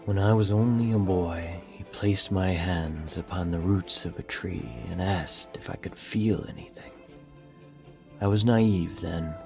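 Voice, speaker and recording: A man voicing an ancient, solemn nature guardian in a dramatic comic reading, with a gravelly, portentous delivery. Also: low voice, a little mellow. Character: ancient solemn nature guardian recalling his boyhood lessons. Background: music.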